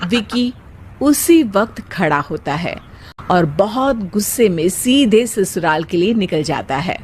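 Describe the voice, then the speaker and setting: A woman's voice: medium pitch, lively intonation, measured pace, darkish tone, a little hiss or hum. A woman reading scripted narration for a story as its narrator.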